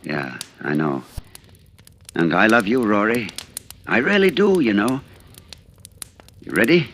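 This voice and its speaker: An actor playing a betrayed husband, a bitter and quietly calculating man who speaks in a brooding, deliberate way in an old-time radio suspense drama. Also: on the low side, sing-song, a little hiss or hum, exaggerated voice. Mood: tense, falsely tender. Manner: brooding, deliberate confessional delivery with dramatic pauses. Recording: vintage radio broadcast recording with music.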